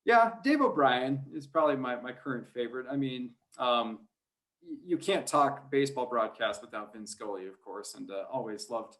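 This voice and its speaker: A man's voice: mid-range voice, expressive, normal speed, fairly bright tone. A man speaking as a guest sports announcer in a casual podcast interview, rambling and hesitant as he thinks aloud.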